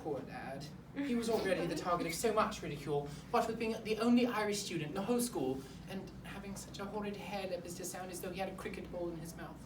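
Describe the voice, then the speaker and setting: A woman's voice: on the low side, fast, few pauses, close to an everyday voice. A woman playing a pompous, awkward boarding school headmaster in a comic monologue, with fussy, rambling formal politeness. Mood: pompous complaint. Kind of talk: comic monologue in a speech competition performance. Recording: roomy, distant mic, clear background noise.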